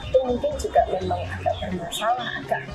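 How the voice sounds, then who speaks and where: A woman explaining language in a casual chat, pausing now and then to find her words. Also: mid-range voice, music in the background. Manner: thoughtful, pauses to find words. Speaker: language expert guest.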